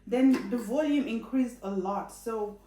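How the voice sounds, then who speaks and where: A woman's voice: a little high, measured pace, roomy sound, recorded from a distance, noisy background. A young woman with a Nigerian accent chatting playfully to viewers while cooking in her home kitchen, laughing often. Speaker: cooking vlogger. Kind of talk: casual cooking tutorial chat.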